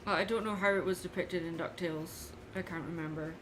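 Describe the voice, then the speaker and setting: A woman with a somewhat low voice talks casually as she draws on a livestream. She muses aloud in loose, unscripted fragments. Clear background noise runs through the recording. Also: steady tone, fairly quick. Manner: musing, thinking aloud in fragments. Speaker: artist livestreaming a drawing session. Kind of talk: casual unscripted drawing commentary.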